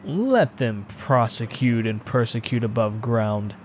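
An impassioned, scornful painter , acted out by a man holds forth in a horror audiobook. He speaks slowly and theatrically, leaning on his words with dark, secretive fervor. A faint layer of noise sits under the voice. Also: medium pitch, lively intonation. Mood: fervent. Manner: theatrical, emphatic, declamatory, with exclamations. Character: impassioned, scornful, secretive male painter obsessed with macabre antiquity. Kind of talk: dramatic audiobook reading of horror fiction.

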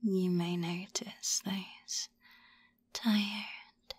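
A woman guides a hypnosis session in an extremely breathy, unvoiced ASMR whisper. She speaks slowly and leaves drawn-out pauses between phrases. Her delivery is soothing and suggestive, with a commanding edge to each instruction. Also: mid-range voice, little pitch movement, no echo.

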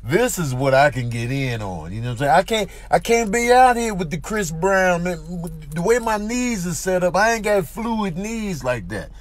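A man's voice: sits high, big pitch swings, normal speed, few pauses. A man doing comic voice-over commentary in conversational, rambling riffs full of slang. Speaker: comedic voice-over performer.

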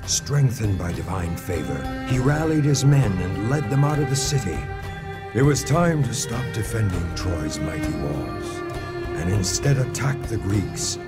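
Deep-voiced, dramatic epic narrator man. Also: very animated, unhurried. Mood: solemn, epic. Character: solemn storyteller of an ancient Greek war. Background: loud music.

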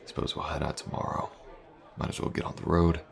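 A man playing a tough, teasing fantasy mercenary in an audio roleplay, speaking in an unhurried, gravelly murmur with pauses. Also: flat delivery, some chatter behind, exaggerated voice. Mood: calm, assured. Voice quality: gravelly, breathy low murmur. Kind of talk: immersive fantasy audio roleplay, intimate improvised monologue.

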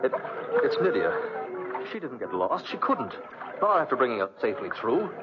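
An actor playing an earnest, gentle young man who worries over others, in 1940s radio drama style on a muffled, noisy old recording. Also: mid-range voice, big pitch swings, moderate pace, over loud music, lightly acted. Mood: troubled, tender.

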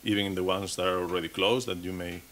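Man, 30s, lowish voice, speaking from a podium at a conference, restarting and repeating words as he goes. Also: little pitch movement, fast talker, a bit bright. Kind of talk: technical conference talk. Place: conference stage.

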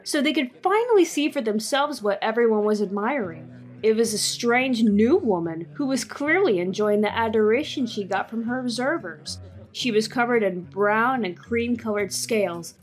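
Female narrator, fairly high, gentle even storytelling. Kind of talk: scripted narration.

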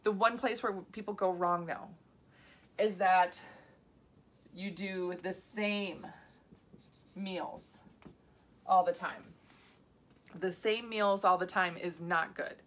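A woman's voice: on the low side, fairly even intonation, takes it slowly, pauses often. A woman in her thirties, a health coach, chatting casually to viewers on a live video with many asides and restarts.

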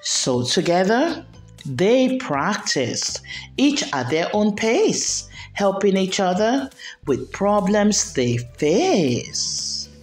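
A woman reading a children's picture book aloud, expressively and with deliberate enunciation. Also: medium pitch, sing-song. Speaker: storyteller. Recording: roomy echo, background music. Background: music.

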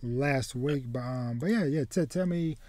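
A man in his thirties hosting a casual anime podcast, speaking haltingly and often repeating words as he goes. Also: medium pitch, dark tone, no echo. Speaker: podcast host. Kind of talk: casual chat about anime. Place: small room with bookshelves, indoors, on a video call.

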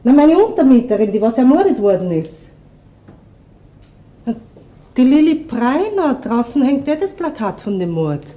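Lively, nosy Viennese landlady. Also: sits high, normal speed, pauses often, lo-fi sound. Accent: Austrian, Viennese. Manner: gossipy, colloquial dialect. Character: chatty, nosy working-class Viennese landlady. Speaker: actor in a radio crime play.

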